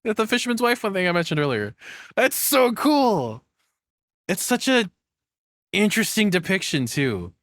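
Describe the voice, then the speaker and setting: A man's voice: sits high, sing-song, normal speed, long pauses, fairly bright tone, no echo, studio quality. A man giving casual, off-the-cuff commentary as a reaction streamer, in an informal way that allows for swearing.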